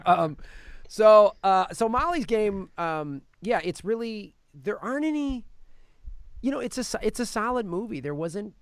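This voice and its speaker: A man hosting a casual film review chat as a comedian, telling things in an animated way with mimicked quotes. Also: sits high, measured pace, clean tone, no echo, clean studio sound. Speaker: podcast host and film reviewer; touring stand-up comedian.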